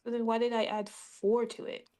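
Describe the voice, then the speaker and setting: A woman's voice: a little high, flat delivery, moderate pace, dark tone. A woman chatting casually as a player in a tabletop game, sounding unsure and questioning.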